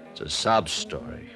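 A tipsy, world-weary producer. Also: male voice, rumbling low, sharp tone, heavily acted. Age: middle-aged. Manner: theatrical, rambling, laughing, mock-drunk. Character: tipsy, world-weary middle-aged male radio producer. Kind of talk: 1950s radio drama acting.

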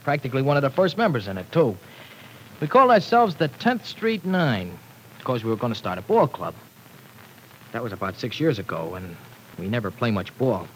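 An actor playing a streetwise young city gang member in a 1940s radio drama, talking tough, sarcastic and bitter in slangy speech. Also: male voice, mid-range voice, big pitch swings, moderate pace, slight background noise, close to an everyday voice, rough recording.

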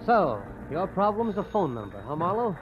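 An actor playing a chatty, wisecracking newspaper reporter, in 1940s radio acting. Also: male voice, high voice, sing-song, moderate pace, over loud music. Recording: dull old radio recording with faint noise.

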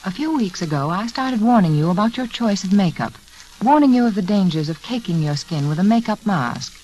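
A woman's voice: medium pitch, expressive, fairly quick, darkish tone. A woman hostess delivering a scripted 1940s radio advertisement. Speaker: sponsor's hostess. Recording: faintly noisy vintage radio recording.

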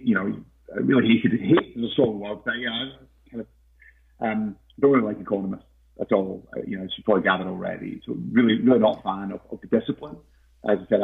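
A man as a podcast guest in casual interview talk, hesitant with many fillers and restarts, his voice croaky. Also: lowish voice. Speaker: podcast guest, investment researcher. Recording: tinny phone-like line.

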